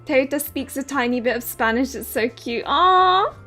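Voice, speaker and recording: A woman's voice: high voice, animated, normal speed, bright, forceful tone. A woman with a southern English accent casually commenting on a game stream and reading its text aloud, laughing often and tripping over names. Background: faint game music.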